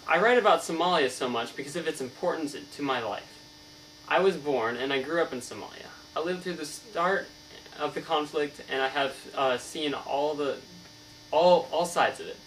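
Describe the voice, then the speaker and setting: A man's voice: a little high, moderate pace, fairly bright tone, natural voice. A man playing a learned, earnest novelist in a scripted mock interview, speaking formally as if reciting and stumbling over words now and then. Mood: earnest, explanatory. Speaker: student playing an interviewed author. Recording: distant mic, clear mains hum.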